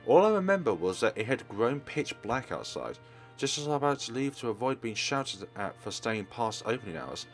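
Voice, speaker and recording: A man's voice: medium pitch, very animated, moderate pace, faint music behind. A man reading story narration aloud from a visual novel as a let's play host.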